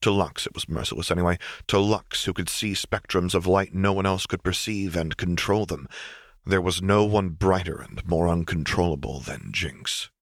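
Low-voiced male audiobook narrator. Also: normal speed, no room sound, clean studio sound. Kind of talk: scripted dramatic story narration.

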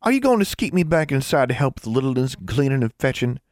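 A resentful little farm girl from an audiobook, put on by a man, speaks high with a rural Southern US accent. She sounds plaintive and folksy, her pitch swinging widely. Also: big pitch swings, quick pace, darkish tone, no echo, studio quality, close to an everyday voice. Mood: wounded. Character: tearful young country girl, resentful little sister.

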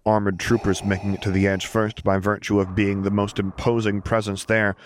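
A man reads fiction aloud as an audiobook narrator. His voice sits on the low side and stays very even in pitch. There is clear noise and some clicking in the recording. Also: brisk.

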